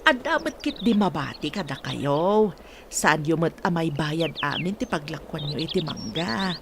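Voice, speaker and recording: A woman's voice: low voice, animated, moderate pace. A woman playing a caring younger sibling in a radio drama. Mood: concerned, gentle. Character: caring woman looking after an older sibling. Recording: noisy outdoor ambience with birdsong. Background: outdoor ambience, birds.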